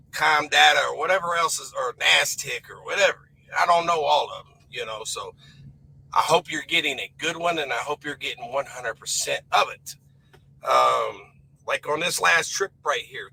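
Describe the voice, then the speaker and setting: A man with a cold talks to his camera in a rough, raspy voice that crackles with fry. His tone is strikingly bright and forceful as he rambles in a folksy, emphatic way about his trucking costs. A faint hum of noise sits under the recording. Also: mid-range voice. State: hoarse from a cold. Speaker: owner-operator truck driver. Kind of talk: casual vlog talk to camera.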